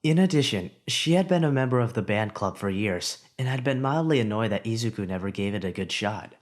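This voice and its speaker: A man's voice: lowish voice, animated, a little fast. A man narrating a fan-made audiobook of a story, reading expressively and lifting his voice for the hero's inner thoughts.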